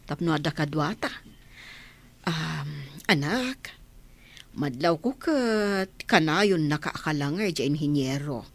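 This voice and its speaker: A woman's voice: deep voice, expressive, slow pace, dry recording, heavily acted. A woman with an Ilocano accent playing a prying, concerned village mother in a radio comedy drama. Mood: prying, teasing. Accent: Ilocano Filipino.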